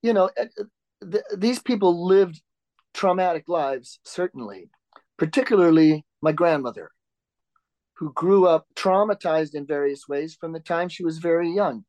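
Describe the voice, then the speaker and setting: A man who is the author and interview guest, answering questions in a conversational, halting way with frequent restarts and filler words. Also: on the high side, a little mellow, no echo.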